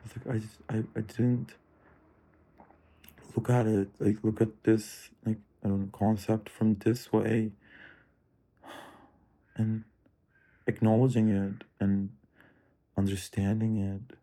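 Man, low voice, very slow, musing self-talk, profane, drifting. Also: level tone, mellow. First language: Turkish. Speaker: streamer. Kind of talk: rambling self-talk monologue.

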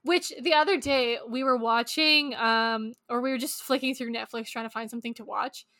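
A woman co-hosting a casual book podcast, expressive and quick to swear. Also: fairly high pitch, no room sound. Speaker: podcast co-host.

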